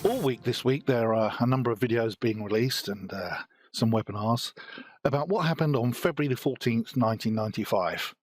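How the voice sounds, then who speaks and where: A man in his late fifties with a British accent, telling a personal story to the camera as a software developer, with dry, wry humor. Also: mid-range voice, fairly quick, dry recording, faint music behind. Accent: British English. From United Kingdom.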